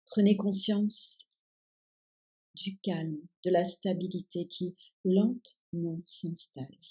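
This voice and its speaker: A woman guiding a yoga nidra relaxation with calm, soothing instructions in a soft-edged, breathy voice. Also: lowish voice, slow. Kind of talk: guided meditation, relaxation instructions.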